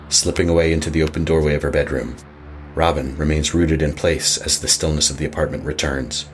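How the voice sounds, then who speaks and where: Extremely deep-voiced male horror narrator. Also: slight background noise. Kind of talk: scripted horror story narration.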